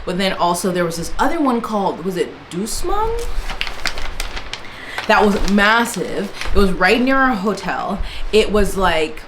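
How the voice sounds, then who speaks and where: A woman's voice: mid-range voice, big pitch swings, unhurried. Give me a chatty woman talking through her purchases, with faint noise behind her. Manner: chatty, rambling, many fillers and restarts. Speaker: book vlogger. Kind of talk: casual book haul chat. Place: indoors, in Germany.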